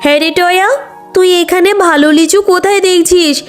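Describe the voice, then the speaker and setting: A woman's voice: very high-pitched, steady tone, brisk. A woman voicing a weary, poor mother fox in a cartoon, plaintive and firm, over music. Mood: scolding.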